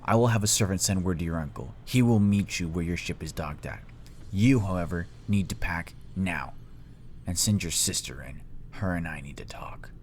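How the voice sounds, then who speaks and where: A man voicing a cold, scheming middle-aged tyrant king in a fan story, speaking slowly and gravely in a hushed, breathy murmur. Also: deep voice, slight background noise, pitched below the performer's own voice, natural voice. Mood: cold, controlled. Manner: slow, grave, menacing, deliberate pauses.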